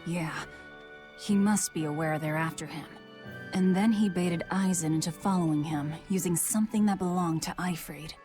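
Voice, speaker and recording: Actress as hardened young antiheroine, low-ish deadpan voice, brusque, game dub, music. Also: female voice, moderate pace, natural voice. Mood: cool, curt. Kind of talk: English anime game dub.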